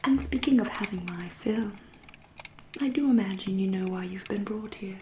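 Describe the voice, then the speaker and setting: A woman voicing a centuries-old aristocratic English vampire in a soft, breathy, often whispery ASMR roleplay, genteel and deliberate, with dry, polite menace. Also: mid-range voice, echoey room, background noise, close to an everyday voice. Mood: quietly menacing. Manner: genteel, deliberate, drawn-out phrasing with dry irony.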